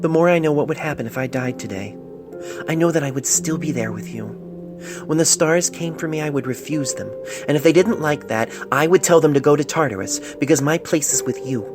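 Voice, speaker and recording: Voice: mid-range voice, voice pushed up, lightly acted. An amateur narrator voicing a loving, sentimental pony reading out a love letter earnestly and tenderly, recorded on a phone. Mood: wistful, devoted.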